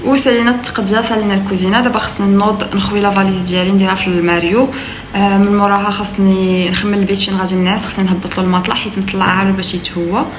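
A young woman in her twenties with a Moroccan accent, chatting casually through a home vlog, relaxed and full of hesitations. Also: mid-range voice, rapid-fire. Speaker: vlogger. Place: apartment kitchen, indoors. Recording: echoey room, distant mic, noisy background.

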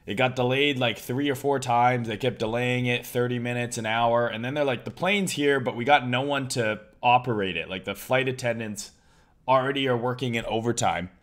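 Hoarse young man, loud trading streamer. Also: mid-range voice, moderate pace. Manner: loud, excitable, swears casually. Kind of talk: casual live-stream chart commentary.

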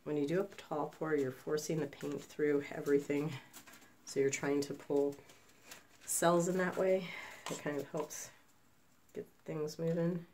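A woman's voice: deep voice, creaky, a little mellow, slight background noise. A woman with a cold, chatting casually as she demonstrates a paint pour at her home craft table, recorded on an overhead phone. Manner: chatty, self-deprecating asides. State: has a cold, snuffly. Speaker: acrylic pour artist. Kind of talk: casual tutorial chat while working. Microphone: phone camera overhead.

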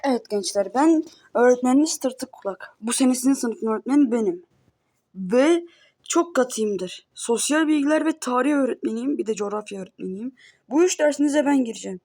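A woman's voice: on the high side, animated, fairly quick, mellow. A woman voicing a stern cartoon schoolteacher who lectures and scolds the class. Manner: bossy, lecturing.

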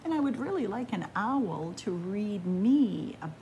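A woman reads a children's picture book aloud at a leisurely pace. Her pitch is mid-range and moves up and down expressively, with deliberate pauses between phrases. Some room noise sits behind her. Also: animated, dark tone, dull audio. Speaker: librarian reading picture books aloud to children. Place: indoors in a library room with bookshelves.

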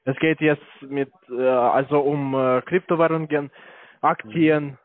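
A man with a foreign accent, not a native speaker, making a hesitant telesales pitch for investments. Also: fairly even intonation, no room sound. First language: not German. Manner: halting, many hesitations. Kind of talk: phone sales pitch. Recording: tinny dry phone line.

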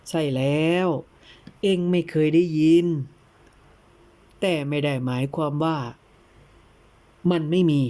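A woman plays a patient old-fashioned male teacher in a storytelling lesson. The delivery is very unhurried and didactic, with a slightly low pitch for a female voice and careful, probing explanations. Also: mellow. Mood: emphatic, instructive. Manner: deliberate, didactic, breaks words into syllables. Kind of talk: dramatized teaching dialogue in an audio tale.

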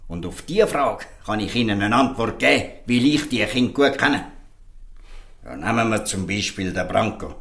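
A man's voice: mid-range voice, a bit bright. An actor playing an earnest, defiant fisherman in a Swiss German audio drama, speaking emphatically and pleadingly. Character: earnest, defiant fisherman defending outcast children. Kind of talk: audio drama dialogue in dialect.

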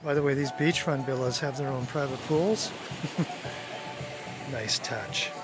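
A man's voice: medium pitch, measured pace, over loud music. A man casually narrating a travel vlog, wry and chatty, chuckling to himself. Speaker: travel vlogger.

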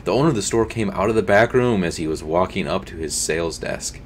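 A young man reading a scary story aloud at home, tripping over words now and then and adding quiet asides. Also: low voice, animated, a little fast, slight background noise. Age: young adult. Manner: reads with slips and restarts, drops to quiet asides. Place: home streaming room, indoors.

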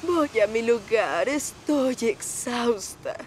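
A woman's voice: fairly high pitch, slow, a little hiss or hum. Languid, drawling, vain lady railcar. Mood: wheedling, languid. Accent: Latin American Spanish. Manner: coy, languid, flattering. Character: vain, wheedling, lazy female diesel railcar. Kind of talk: animated children's series dialogue. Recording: music behind the voice.

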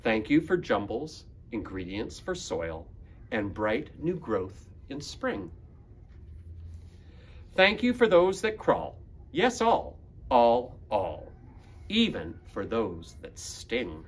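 Slow, expressive man reading aloud to children. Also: medium pitch. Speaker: school librarian, guest reader. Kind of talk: picture book read-aloud for children. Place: school library room, indoors.

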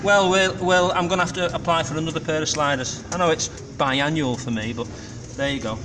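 A man's voice: fairly high pitch, fairly even intonation, brisk, far from the mic, very noisy. A middle-aged man chatting casually at a motorcycle race track, rambling with fillers and restarts. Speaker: motorcycle track day vlogger. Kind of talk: casual vlog chat. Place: paddock garage at a race track.

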